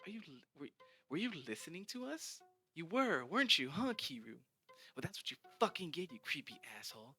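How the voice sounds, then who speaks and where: A man's voice: very high voice, very animated, moderate pace, pushed and bright, soft background music, voice pushed up, exaggerated voice. A man voicing a spiteful, sneering teenage girl who leads a cruel group. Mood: vicious.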